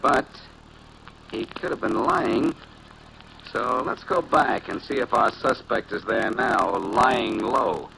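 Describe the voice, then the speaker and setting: An actor playing an impatient, sharp-witted amateur detective with wry, clipped delivery in a 1940s radio drama. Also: male voice, lowish voice, expressive, slow pace, vocal fry, heavily acted. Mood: impatient, probing. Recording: very noisy old 1940s radio recording.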